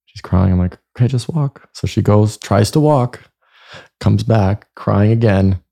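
A man's voice: on the low side, unhurried, gravelly, warm tone. A man born in Croatia, chatting casually as an interview guest and telling anecdotes. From born in Croatia, lived in Germany and Canada. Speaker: podcast guest, chiropractor and speaker.

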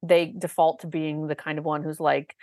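A woman with a low voice, co-hosting a podcast, talking in an enthusiastic, chatty way with lots of fillers. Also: level tone, normal speed, dark tone, dry recording, studio quality. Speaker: podcast co-host. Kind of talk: casual chat about a musical.

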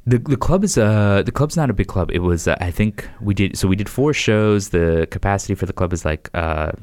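A young American man with a deep, smooth voice talks in a loose, rambling way, full of "like" and false starts. The sound is close and dry. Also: a little fast, darkish tone, no room sound. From United States. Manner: rambling, restarts, frequent "like". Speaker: comedian guest. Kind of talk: casual podcast chat.

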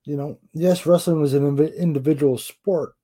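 Blunt, husky podcast co-host man. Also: fairly high pitch, steady tone, normal speed, dark tone. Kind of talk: casual podcast chat.

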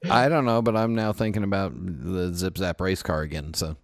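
Low-voiced man hosting a podcast, joking. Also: steady tone, moderate pace, no echo. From southern United States. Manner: joking, self-deprecating, laughs often. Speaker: podcast host. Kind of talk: casual interview chat.

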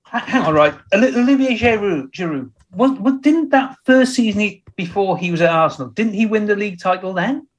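Teasing man with a somewhat high voice. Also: lively intonation, brisk, hardly any pauses, lo-fi sound. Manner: teasing, jokey, laughs a lot. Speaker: podcast co-host. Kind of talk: casual football chat.

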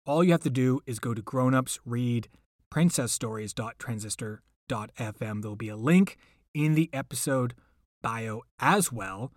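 A man's voice: mid-range voice, normal speed, no echo, clean studio sound. A man hosting a podcast, speaking casually and playfully, with emphatic, pleading repetition. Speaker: podcast host.

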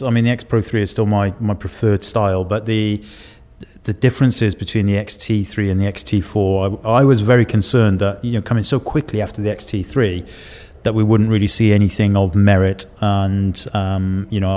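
Laid-back low-voiced male co-host. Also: steady tone, brisk, warm tone, in a large hall. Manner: dry, laid-back, hesitant with fillers. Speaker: podcast co-host. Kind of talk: casual podcast chat. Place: London pub, on location.

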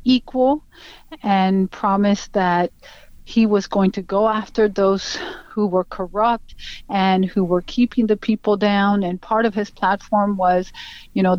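A middle-aged woman with a Venezuelan Spanish accent gives an earnest personal account as an interview guest. She talks at a middle pitch, leans on fillers and acts out what others said. The recording is dull and lo-fi, with a dry sound and no room echo. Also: darkish tone, clear, resonant tone. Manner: earnest, many fillers, acts out quoted speech.

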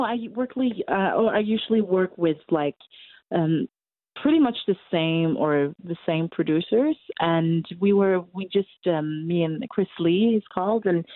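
A woman in her twenties with a Norwegian accent, a musician answering interview questions in English, often pausing to hunt for words. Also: lowish voice, no echo. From Norway. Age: 20s. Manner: hesitant, searching for English words. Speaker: musician guest. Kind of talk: casual interview over a call. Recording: tinny phone-like line.

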